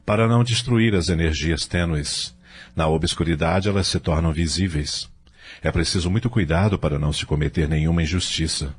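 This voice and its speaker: A man playing an earnest, patient spirit records clerk in an audio novel, explaining in a measured, didactic way. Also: sits low, slightly rough. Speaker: guide at a spirit-world records centre.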